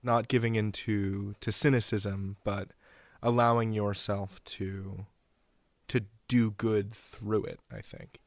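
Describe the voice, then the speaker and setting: Low, creaky-voiced man interviewing casually. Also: little pitch movement, takes it slowly, no room sound, clean studio sound.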